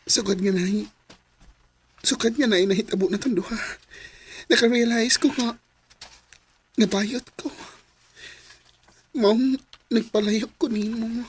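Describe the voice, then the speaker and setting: A woman playing a young lovelorn Cebuano character in an emotive radio drama, with a breathy, husky voice and a Cebuano accent. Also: fairly high pitch, fairly even intonation, takes it slowly, long pauses. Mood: pained, confessing. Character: young lovelorn Cebuano confessing long-hidden love.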